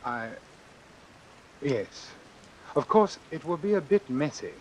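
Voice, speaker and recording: An actor playing an anxious, doomed man in a vintage radio suspense drama, speaking in a hesitant, measured way. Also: on the high side, very animated, darkish tone, a little hiss or hum, poor audio. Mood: grim, controlled. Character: anxious doomed man who arranged his own killing. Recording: vintage radio recording with music and rain. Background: music and rain.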